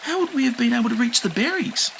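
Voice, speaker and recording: A man's voice: extremely high pitch, expressive, fast talker, gravelly, background noise, voice pushed up, full character voice. A man doing an eager young boy dinosaur. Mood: comforting.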